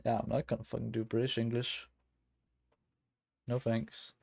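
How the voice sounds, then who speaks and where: A man's voice: mid-range voice, completely flat delivery, normal speed, vocal fry, darkish tone, breathy edge. A man from Denmark with a Danish accent, chatting casually on a live stream while he codes, blunt, swearing often and laughing.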